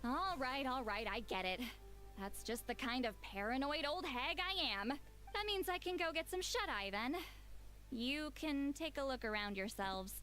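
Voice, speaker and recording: A woman's voice: sits high, a little slow, fairly bright tone, faint music behind. A woman voicing a sleepy, grumpy elder who talks in a grumbling, self-mocking way in a game cutscene. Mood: resigned.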